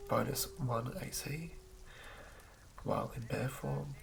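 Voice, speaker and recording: A man reading in a hushed, breathy ASMR whisper with a halting, measured delivery. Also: mid-range voice, background noise. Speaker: host of an ASMR channel. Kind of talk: ASMR reading of game rulebook.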